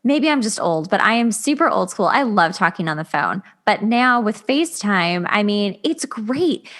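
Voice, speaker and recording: A woman hosting a podcast in a casual, expressive way, with very informal phrasing. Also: medium pitch, normal speed. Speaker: podcast host, interviewer. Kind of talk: casual chat.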